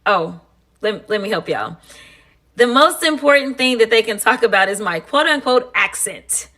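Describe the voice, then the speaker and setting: A woman's voice: on the high side, expressive, moderate pace, bright, forceful tone. Texan woman, sassy casual chat to her followers, says y'all a lot. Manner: sassy, conversational, addresses viewers as y'all. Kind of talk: casual direct-to-camera social video.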